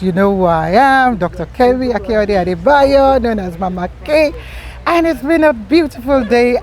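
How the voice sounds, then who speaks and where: A woman's voice: a little high, a little mellow. A woman from Scotland hosting a casual on-location interview outdoors, chatty and full of exclamations. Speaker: talk show host. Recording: outdoor event, crowd and traffic behind.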